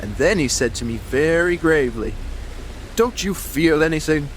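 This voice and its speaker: A man performs an earnest, superstitious traveler in an audio drama, brooding and a little hesitant. His voice sits at a middle pitch over faint background ambience. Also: expressive, brisk, fairly bright tone, slight background noise, lightly acted, low-quality recording. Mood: grave, haunted. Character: uneasy, superstitious yachting companion, adult man, earnest.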